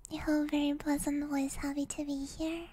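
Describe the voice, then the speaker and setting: A woman streaming ASMR, chatting to viewers in a soft, breathy, gentle whisper close to the microphone, with a playful manner. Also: sits high, little pitch movement, moderate pace, slight background noise. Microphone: close microphone, Blue Yeti.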